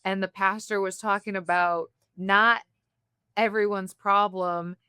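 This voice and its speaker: A woman from the United States hosting an interview, talking in a candid, reflective way with quick bursts. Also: mid-range voice, steady tone, sharp tone, clean tone, dry recording, clean studio sound. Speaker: podcast host. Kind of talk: conversational interview.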